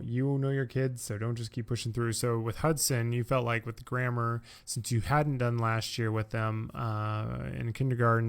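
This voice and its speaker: An American man in his thirties hosting a podcast in an informal, easygoing, conversational way. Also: mid-range voice. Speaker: podcast host. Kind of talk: casual conversational chat.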